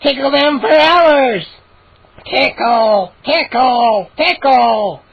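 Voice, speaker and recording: Woman doing shrill gleeful gnomes. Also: animated, slow pace, gravelly, no echo, highs cut off, full character voice. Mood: gleeful chanting. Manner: shrill giggly chanting. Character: gleeful mischievous male gnome crowd.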